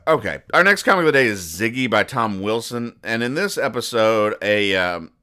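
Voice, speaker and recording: A man hosting a podcast in a casual, rambling commentary style, explaining jokes with deadpan mock-earnestness. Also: lowish voice, moderate pace, smooth. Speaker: podcast host.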